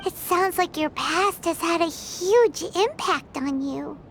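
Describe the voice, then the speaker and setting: An actress voicing a tiny, eager fairy companion with a squeaky childlike voice in an anime game dub. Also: female voice, slow pace, a bit bright, slight background noise, exaggerated voice. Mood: concerned. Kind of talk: English-dubbed anime game voice acting.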